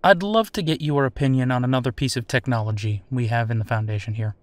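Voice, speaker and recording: A man's voice: medium pitch, quick pace, no echo, lightly acted. A man playing a military captain in an audio drama interview, casual and wry, with a conversational, probing way of talking. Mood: probing, polite.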